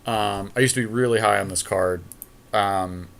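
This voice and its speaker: A man's voice: on the low side, normal speed, vocal fry, a bit bright. A man co-hosting a gaming podcast, giving casual commentary on an online card game with a friend and griping dryly with the odd mild swear.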